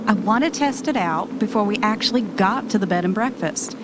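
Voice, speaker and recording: A woman's voice: brisk, lightly acted. A woman playing an eager, bossy travel podcaster in an amateur audio drama, chatty and ready with an announcer's polish. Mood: upbeat, persuasive. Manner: chatty, switches into polished radio voice. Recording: noisy, vehicle rumble behind the voice.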